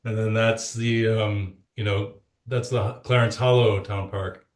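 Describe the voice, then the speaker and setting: A middle-aged man from western New York, a musician guest, talking casually and haltingly with many restarts. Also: fairly even intonation. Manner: deep-voiced, halting, restarts often. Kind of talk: casual chat.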